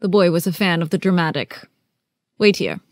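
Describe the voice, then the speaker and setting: A woman playing a prim, wry older librarian in an animated film. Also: fairly low pitch, moderate pace, dry recording, studio quality, natural voice. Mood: reflective. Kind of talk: animated film character acting.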